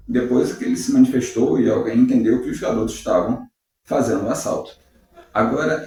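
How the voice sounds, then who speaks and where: Mellow man in an echoey room. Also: medium pitch. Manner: measured, uses rhetorical questions. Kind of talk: reflective devotional talk. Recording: very echoey room, distant mic.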